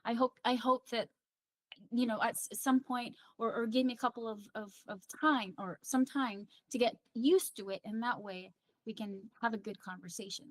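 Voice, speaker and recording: A woman's voice: a little high, fairly even intonation, moderate pace, no echo. A woman raised in the Philippines, with Pangasinan as her first language, co-hosting a radio chat show and giving thoughtful advice. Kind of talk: casual chat.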